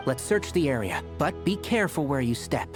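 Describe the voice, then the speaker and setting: An actress playing a no-nonsense, sharp-tongued young woman leading an expedition in a video game, dry and commanding. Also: extremely low pitch, big pitch swings, brisk. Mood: focused. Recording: game music clearly behind voice.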